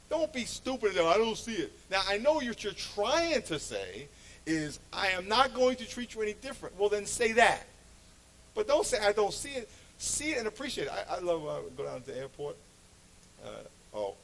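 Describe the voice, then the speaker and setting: Higher-pitched man preaching, noisy recording. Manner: animated, emphatic, repeats phrases for effect. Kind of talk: conversational sermon with anecdotes.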